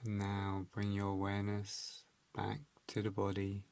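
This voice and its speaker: A man leading a guided meditation, giving calm instructions in a gentle, unhurried manner with measured phrases and pauses. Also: fairly low pitch, gravelly.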